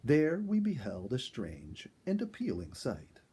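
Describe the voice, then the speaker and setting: A man reading a first-person animal story aloud in an expressive storyteller's style. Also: mid-range voice, unhurried, husky. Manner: expressive, acts out dialogue. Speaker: storyteller, reader.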